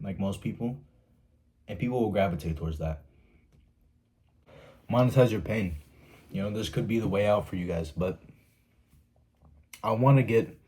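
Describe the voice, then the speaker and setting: Blunt, husky-voiced young man. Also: low voice, darkish tone. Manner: blunt, profane, repeats "right?" often. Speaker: YouTuber giving self-help advice. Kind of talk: casual motivational monologue.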